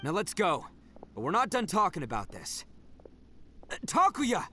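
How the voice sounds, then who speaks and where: A cocky loudmouth oni fighter in a game, high lively voice, faint music behind. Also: male voice, normal speed, slight background noise, exaggerated voice. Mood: earnest. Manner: loud, swaggering, blunt. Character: brash, cocky young male oni brawler. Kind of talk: game cutscene voice acting.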